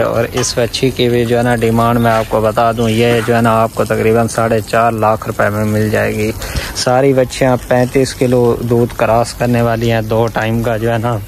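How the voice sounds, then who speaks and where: A man's voice: medium pitch, slight background noise. A man presents a sales vlog, often repeating filler phrases, recorded outdoors on a phone. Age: 20s. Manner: repetitive verbal filler phrases. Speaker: cattle seller and video presenter. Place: open-air farm yard. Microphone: phone at arm's length.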